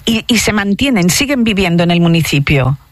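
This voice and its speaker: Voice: medium pitch, expressive, fairly quick, no room sound. A radio host interviewing a guest, asking long conversational questions.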